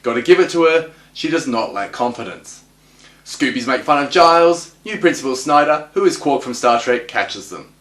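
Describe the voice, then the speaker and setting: A man delivering recap and analysis commentary as a host, with wry, clipped deadpan quips. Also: medium pitch, normal speed. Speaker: host of a TV episode analysis show. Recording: roomy, echoing voice recording.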